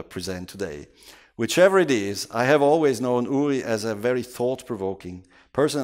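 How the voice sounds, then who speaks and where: A man moderating an event, giving a formal introduction in a measured, courteous way. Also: fairly low pitch. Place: conference hall.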